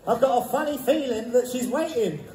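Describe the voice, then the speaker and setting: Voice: mid-range voice, fairly quick, close to an everyday voice. A performer playing a hopeful, lovelorn young hero with exaggerated comic delivery in a live pantomime. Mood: elated, dreamy. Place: large holiday resort theatre stage. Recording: echoey theatre, loud music and crowd.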